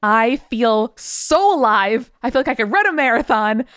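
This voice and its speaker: A woman's voice: fairly high pitch, moderate pace, clear, resonant tone, no echo. Playful woman podcast co-host, dry sound. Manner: playful, excitable. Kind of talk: casual chat, reading listener mail.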